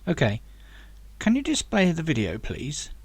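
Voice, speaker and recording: A man's voice: fairly high pitch, wildly swooping pitch, a little slow, slightly rough, heavily acted. A man voicing an eager, curious young male hero in an audiobook, asking questions and exclaiming keenly. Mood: curious, urgent. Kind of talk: audiobook character dialogue.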